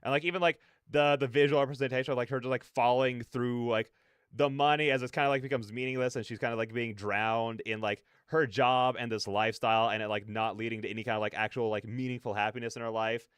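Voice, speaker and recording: A man's voice: mid-range voice, fairly even intonation, fast, a bit bright, clean tone, no room sound, studio quality. A man hosting a reaction video, giving casual, rambling commentary as he thinks aloud. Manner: rambling, peppered with "like" and "you know".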